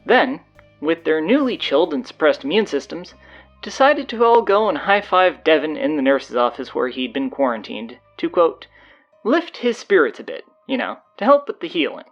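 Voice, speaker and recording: Bossy teenage girl announcer played by a woman for audio drama: low register, swooping delivery, blunt sarcasm. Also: big pitch swings, moderate pace, muffled recording, soft background music, heavily acted. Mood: brisk, sardonic. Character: bossy, sardonic teenage high school girl taking over announcements.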